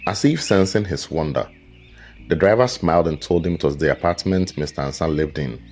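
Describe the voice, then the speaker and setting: A man narrating a scripted folktale as a storyteller, with a measured, dramatic delivery. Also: a bass voice, darkish tone. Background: background music with guitar.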